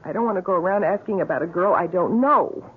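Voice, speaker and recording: A woman's voice: fairly low pitch, fast talker, close to an everyday voice. A woman playing an anxious, wary young woman in a radio mystery drama, speaking in a worried, pleading way. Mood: frightened, reluctant. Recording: tinny, band-limited old radio, faint noise.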